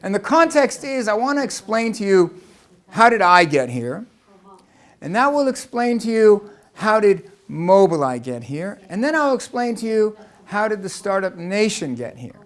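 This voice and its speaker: High-voiced American man giving a talk. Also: very animated, normal speed. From Los Angeles, United States. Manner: rhetorical questions, conversational and explanatory. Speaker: lecturer. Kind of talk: presentation talk to an audience.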